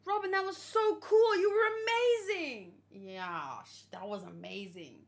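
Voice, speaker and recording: A woman giving excited, rambling reaction commentary, emotional and full of gushing exclamations. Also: very high voice, unhurried. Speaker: host of a manga read-along reaction.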